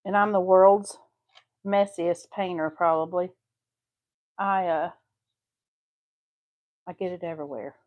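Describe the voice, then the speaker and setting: A woman's voice: on the low side, steady tone, slow pace, pauses often, phone-quality sound. A woman with a Southeast Texas accent hosting a live craft stream, chatting homely with viewers as she paints. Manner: chatty, homey, talks to viewers while painting. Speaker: craft channel host.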